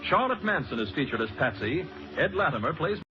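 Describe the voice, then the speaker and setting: A man's voice: fairly high pitch, very animated, brisk, background noise. A man reading closing credits as a 1940s radio announcer, in a formal scripted style. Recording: dull old radio recording with music. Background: music.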